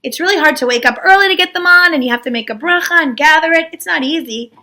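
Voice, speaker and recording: A woman's voice: high voice, fast talker, sharp tone, pitched above the performer's own voice. A woman playing a whiny, complaining desert traveler in a storytelling lesson. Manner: whining, complaining.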